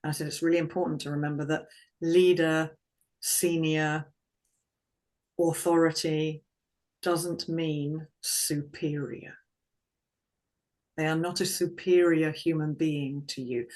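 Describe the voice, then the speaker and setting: A woman speaking as a guest vocal confidence coach in an interview, calm and reflective, in measured phrases. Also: low-pitched. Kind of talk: conversational interview.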